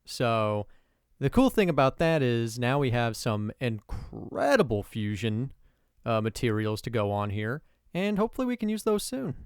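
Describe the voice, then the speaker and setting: A man's voice: mid-range voice, moderate pace, smooth, dry recording. Young man ranting over gameplay, dry sound. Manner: casual, swears and rants in sudden excited bursts. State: voice a little off from allergies. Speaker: gaming video host. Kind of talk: let's play commentary.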